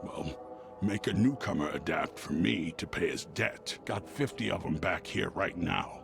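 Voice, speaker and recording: A scornful game moneylender. His voice is low and extremely gravelly, delivered with sly, surly smugness over clearly audible music. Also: a little slow. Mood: surly, smug. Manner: surly, smug. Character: sly, grumbling male moneylender, scornful of newcomers. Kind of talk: video game dialogue acting.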